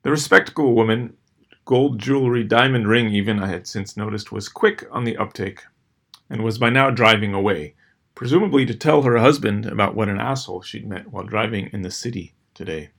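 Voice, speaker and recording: A middle-aged man reading scripted personal stories as host, with a dry, wry, measured delivery and deliberate pauses. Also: on the low side, gravelly, a bit bright. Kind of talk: scripted first-person storytelling.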